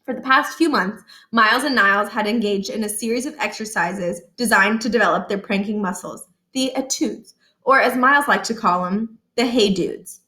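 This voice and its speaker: A woman narrating a children's book aloud in an expressive storytelling style. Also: medium pitch, moderate pace.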